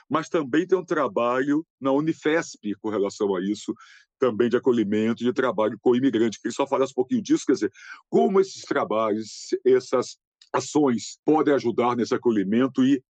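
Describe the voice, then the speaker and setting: A man's voice: medium pitch, darkish tone, no echo. A man with a Brazilian accent moderating a panel, politely putting a question to a professor. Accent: Brazilian Portuguese.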